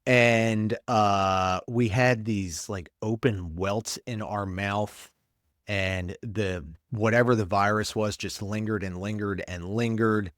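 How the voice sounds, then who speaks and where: Stuffy-nosed guy, lowish voice, rambling blunt podcast rant, dry close mic. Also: steady tone, takes it slowly, fairly bright tone, dry recording. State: congested, stuffed-up voice. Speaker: podcast host, paramedic. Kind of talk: casual solo commentary.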